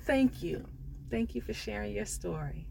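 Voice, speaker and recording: A woman's voice: medium pitch, animated, moderate pace, vocal fry, dark tone, a little hiss or hum. A woman hosting a storytelling show, interviewing casually in a supportive manner. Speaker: radio storytelling show host.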